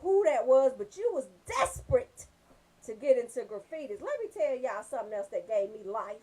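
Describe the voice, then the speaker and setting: A woman with a Southern US accent telling comedic stories as a radio host, brash, animated and freely swearing. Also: a little high, moderate pace. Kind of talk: comedic storytelling on a casual talk show.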